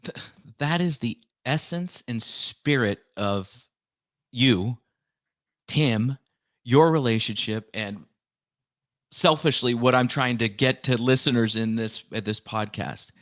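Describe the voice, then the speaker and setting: A man hosting an interview podcast in an earnest, effusive way, with deliberate phrasing. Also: medium pitch, a bit bright, no room sound, studio quality. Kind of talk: conversational interview.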